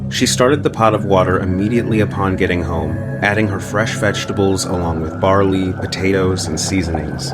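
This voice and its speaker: Low-voiced man narrating a scary story. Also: fairly quick, few pauses. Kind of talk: scripted horror story narration. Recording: loud music behind.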